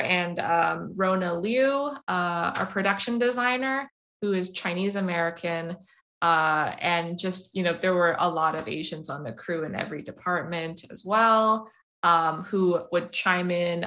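A young woman, an animation filmmaker, talks through her answers with frequent restarts and searching pauses. Her voice sits a little low for a woman, and it is bright and forceful. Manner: halting, searching for words with many restarts. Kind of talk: casual interview answers.

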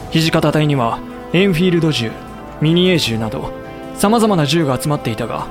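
An actor playing a thoughtful samurai official who narrates a war in measured, explanatory audio drama style. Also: male voice, a little high, lightly acted. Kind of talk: scripted dramatic narration and acting.

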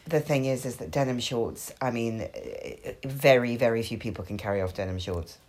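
A woman's voice: extremely low pitch, moderate pace, a little mellow. A snuffly woman with hay fever chatting casually with a friend, teasing her with dry wit. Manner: dry, teasing wit, mock-serious asides. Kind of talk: casual chat between friends.

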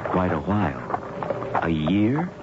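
An earnest young doctor in an old radio play, courteous and protective, with a lowish voice and halting politeness. The recording is dull, with plain hiss and noise over it. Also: male voice, sing-song, full character voice. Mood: concerned, courteous. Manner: hesitant, stammering, polite. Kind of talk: old-time radio drama acting.

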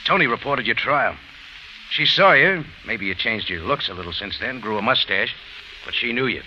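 An American actor playing a tough, sardonic private investigator in old radio drama style, with clipped hard-boiled delivery. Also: male voice, mid-range voice, expressive, moderate pace, natural voice. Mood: sardonic, pressing. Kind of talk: 1940s-50s radio drama acting. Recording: muffled old radio recording, faint noise.

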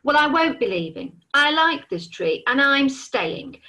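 Storybook: stubborn fire-breathing dragon, slow bossy growl, read by a woman. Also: high voice, very animated, sharp tone, pitched above the performer's own voice, full character voice.

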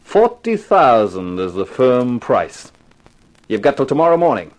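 Hardboiled, cool-headed male private investigator, terse and wry, medium-pitched, animated 1950s radio style. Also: unhurried. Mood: cool, threatening. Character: tough, cool-headed male private detective narrating his case. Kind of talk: 1950s radio detective drama acting.

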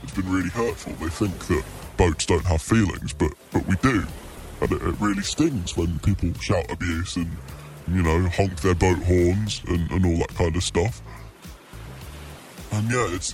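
A man's voice: low-pitched, darkish tone, natural voice. A man voicing a humiliated, sensitive stranded cargo ship, speaking hesitantly and earnestly in a mock radio interview. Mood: hurt, humiliated.

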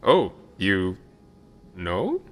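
An actor playing a vain, affable but evasive revolutionary leader in an audio drama. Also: male voice, low-pitched, wildly swooping pitch, very slow, heavily acted. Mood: sheepish. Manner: smooth-talking, hesitant when challenged.

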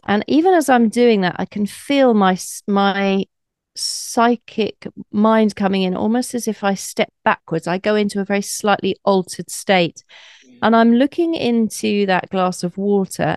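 Gravelly-voiced woman, slightly croaky. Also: medium pitch, no room sound, studio quality. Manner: thoughtful, explanatory, light laughs. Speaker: psychic medium guest. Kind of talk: conversational interview answers.

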